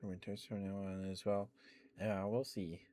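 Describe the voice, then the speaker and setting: Slow, low-voiced man rambling softly. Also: little pitch movement, slight background noise. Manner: soft rambling talk with many fillers and laughs. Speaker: ASMR streamer. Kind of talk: rambling ASMR chat.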